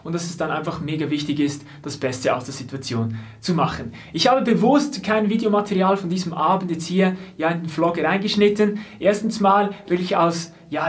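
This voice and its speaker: A young man calmly telling a tour story to camera, seated indoors, with small laughs between phrases. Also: fairly high pitch. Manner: calm, explanatory, soft laughs between phrases. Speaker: drummer and vlogger. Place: small indoor set with lamp and painted backdrop. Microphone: fixed camera, seated framing.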